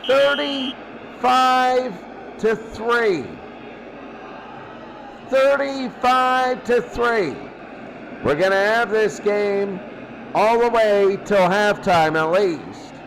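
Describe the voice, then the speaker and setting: Man, football play-by-play announcer, high voice, unhurried pace, clipped repeated phrases, noisy rumbling background. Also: a bit bright. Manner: short clipped phrases, repeats himself for emphasis. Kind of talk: American football play-by-play commentary.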